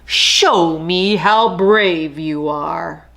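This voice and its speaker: A woman voicing a big, lazy male lion king in a storybook read-aloud, with a slow, lordly drawl. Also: on the low side, gravelly, noisy background, full character voice.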